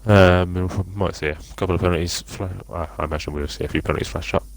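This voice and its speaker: Low-voiced male co-commentator, relaxed and jokey. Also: fast talker. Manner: easygoing, joking, calls the race start. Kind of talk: live sim racing stream commentary.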